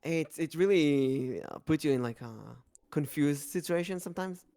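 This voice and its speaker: A woman co-hosting a podcast, speaking in measured, drawn-out phrases with fillers. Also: low voice, warm tone. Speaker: podcast co-host. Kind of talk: casual podcast chat.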